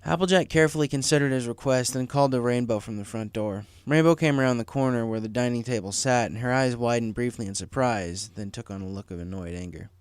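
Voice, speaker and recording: A man's voice: mid-range voice, quick pace, hardly any pauses, clean tone, dry recording. Man narrating a story, dry sound. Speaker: fan fiction audiobook reader.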